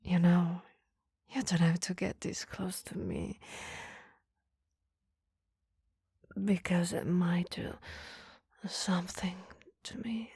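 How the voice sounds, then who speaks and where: A woman playing a coy, flustered female boss in a flirty ASMR roleplay, speaking in soft, breathy whispers with a teasing, hesitant manner. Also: fairly low pitch, slow pace, no echo, studio quality, heavily acted.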